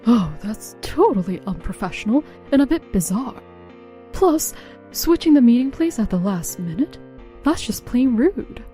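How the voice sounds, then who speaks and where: Mellow businesslike office woman, acted. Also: a little high, big pitch swings, unhurried, soft background music. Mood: disapproving. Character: businesslike female office colleague reporting problems. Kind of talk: scripted manga dub voice acting.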